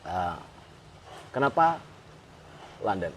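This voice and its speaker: A man's voice: low-pitched, very animated, extremely slow, a bit bright, slight background noise. A man interviewing casually, joking and mixing Javanese with Indonesian. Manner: joking, mixes Javanese and Indonesian, short backchannels.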